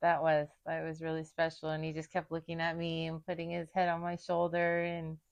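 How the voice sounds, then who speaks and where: A woman's voice: low-pitched, completely flat delivery, gravelly, clean tone, lo-fi sound. A woman in recovery, a mother of a young child, talking candidly about her past and often stopping to search for words. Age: adult with a young son. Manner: halting, searching for words, many restarts. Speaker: daughter in recovery. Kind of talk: candid personal conversation.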